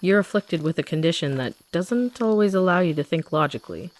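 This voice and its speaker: Casting: actress as guilt-ridden young huntress, low voice, soft confiding delivery, gentle rebel, audio roleplay. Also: female voice, expressive, brisk, natural voice, poor audio. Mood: remorseful, tender. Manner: soft, confiding, addressing the listener directly. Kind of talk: intimate roleplay audio drama acting.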